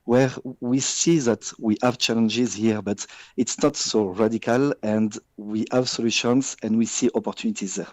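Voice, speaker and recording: A man from France with a French accent, answering a radio business interview in measured English with frequent hesitations and restarts. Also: mid-range voice, steady tone, a little mellow, dry recording. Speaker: business guest.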